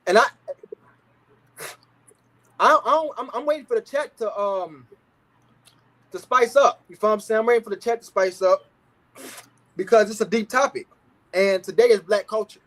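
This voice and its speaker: A man's voice: high voice, normal speed, long pauses, a bit bright. A man in his thirties hosting a casual livestream talk show, speaking in laid-back slang and often asking "you feel what I'm saying".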